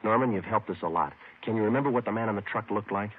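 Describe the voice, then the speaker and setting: A man's voice: fairly low pitch, quick pace, slightly rough. A man with an American accent playing a clipped, matter-of-fact yet courteous police sergeant in a 1950s radio detective drama. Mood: patient, businesslike. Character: brisk, businesslike male police sergeant narrating his case. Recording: tinny old radio with loud music.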